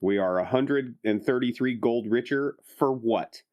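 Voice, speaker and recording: A man's voice: medium pitch, a little slow, highs cut off. A man chatting casually as a player in a tabletop fantasy game.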